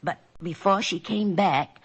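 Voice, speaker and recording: A woman's voice: on the low side, a little slow, breathy edge. A woman playing a chatty, nosy old neighbor in an old-time radio drama, rambling on in a stubborn, folksy way. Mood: folksy, gossipy.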